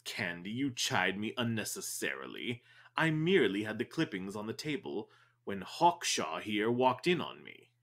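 A man's voice: on the low side, very animated, normal speed, creaky, fairly bright tone, exaggerated voice. A man playing a theatrical, fussy detective's sidekick with a florid, pompous, languid way of talking in an audio drama.

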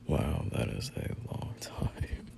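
A young man playing a bashful, teasing friend turned boyfriend in an intimate roleplay audio, speaking in halting, murmured slang. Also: warm tone, whispered, lightly acted. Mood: stunned, unsure.

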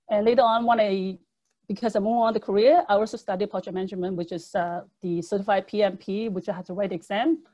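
A woman's voice: mid-range voice, dark tone. A woman whose first language is Cantonese, presenting a public speaking lesson over slides in a video call, with earnest, conversational phrasing and frequent fillers. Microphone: webcam microphone on video call.

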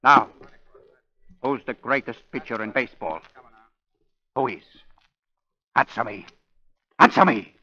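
An old muffled radio recording with a tense, forceful voice. a domineering young bat boy who coaxes and commands with insistence, in 1930s radio acting style. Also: medium pitch, unhurried, long pauses, breathy edge. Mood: hypnotic, demanding.

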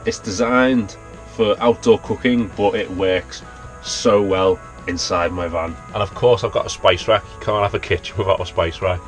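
Low-voiced Liverpool man touring his van. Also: steady tone, normal speed, music in the background. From Liverpool, England. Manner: chatty, practical, explains as he points things out. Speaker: van dweller giving a van tour. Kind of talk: casual vlog tour narration. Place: inside a small wooden camper van. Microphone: handheld action camera close up.

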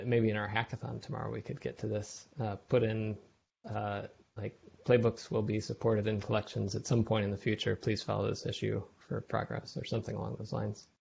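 A man's voice: on the low side, creaky, darkish tone, husky. A man giving casual feedback in an online meeting, conversational and thinking aloud as he talks. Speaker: software contributor giving feedback. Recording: slightly dull online call audio.

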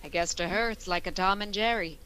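A woman playing a quick-witted, sardonic schoolteacher with dry delivery in an old-time radio comedy. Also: medium pitch, natural voice. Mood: wry. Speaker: lead actor in a radio play recreation. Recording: clear background noise.

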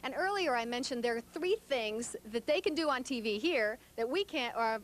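A woman reporter from Texas with a fairly high voice, working live with a handheld mic indoors at a TV studio. She is chatty and informal as she interviews. There is faint noise behind her. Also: expressive, moderate pace. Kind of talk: live on-location news interview. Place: indoors at a cable TV studio and edit room. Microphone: handheld stick microphone. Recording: noisy live TV location sound.

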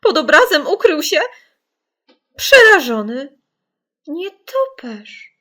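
A woman narrating a children's audiobook in a suspenseful, theatrical way with deliberate dramatic emphasis. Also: high-pitched, very animated, pushed and bright.

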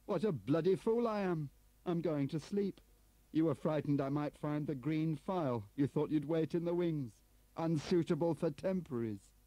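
A man's voice: very deep voice, animated, moderate pace, warm tone, breathy edge, no echo, exaggerated voice, poor audio. A man playing a bitter, sardonic British investigator. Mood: sardonic, goading. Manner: sarcastic, needling, coarse.

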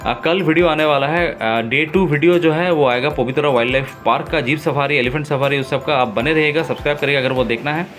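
A man narrating his own travel vlog in a relaxed, enthusiastic way, pausing often with fillers, filmed on a phone outdoors on a river boat. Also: a little high, soft background music. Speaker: travel vlogger.

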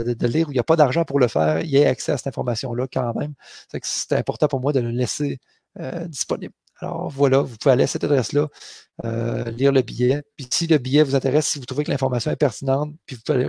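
A man's voice: mid-range voice, vocal fry, darkish tone, dry recording, studio quality. A man in his forties with a Québécois accent from the Beauce, chatting casually in a live Q&A from his home office on a headset mic, with many fillers and restarts. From Quebec. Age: 40s. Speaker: author hosting a live Q&A.

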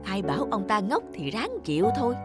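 A sly, fat old neighbour from a cartoon folktale acted out by a woman. He is lazy and grumbles, scheming and blustering over a clear music bed. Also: medium pitch, huge pitch swings, brisk. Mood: smug, scheming. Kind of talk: cartoon folktale character acting.

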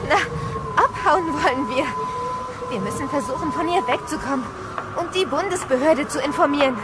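An actress playing a tough, urgent witness on the run in a German audio drama, speaking tersely. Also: female voice, sits high, lively intonation, normal speed. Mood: tense, urgent. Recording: clearly noisy ambient effects behind voice.

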